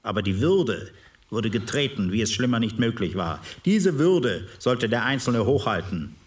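An actor playing a solemn, morally earnest parliamentary delegate who speaks gravely and formally in a re-enacted debate. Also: male voice, medium pitch, sing-song, moderate pace, distant mic, natural voice.

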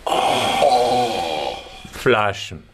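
An actor playing a simple, obedient older accomplice with a hesitant manner in a German radio crime drama. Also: male voice, fairly low pitch, animated, extremely slow, very noisy, exaggerated voice. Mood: puzzled, obedient.

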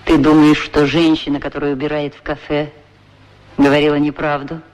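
An actor playing a pair of talking shoes in a radio play, frank, familiar and full of questions. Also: male voice, a little high, monotone, a little fast, close to an everyday voice. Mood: inquisitive, discreet. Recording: 1960s radio drama recording.

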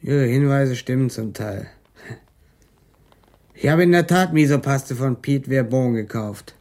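An actor playing a sardonic young drug dealer in a radio crime drama, polite and mocking. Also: male voice, mid-range voice, unhurried, darkish tone, lightly acted. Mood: cool, mocking. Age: young adult.

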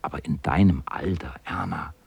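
A man narrating a children's radio play as a calm storyteller with a husky voice. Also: extremely low pitch, moderate pace, no room sound, highs cut off, exaggerated voice. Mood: storytelling.

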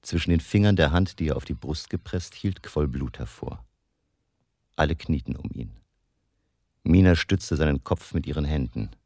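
An actor playing a grave older vampire hunter who narrates a radio drama in a solemn, intense, deliberate, gravelly way. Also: male voice, very deep voice, darkish tone, no echo, studio quality. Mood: solemn narrating. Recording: faint music behind the voice.

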